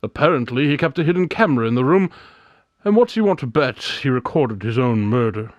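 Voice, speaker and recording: A man acting a confident, brisk, slightly pompous private detective in a radio drama, articulate and theatrical. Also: medium pitch, big pitch swings, dry recording, lo-fi sound, close to an everyday voice. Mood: confident, scheming.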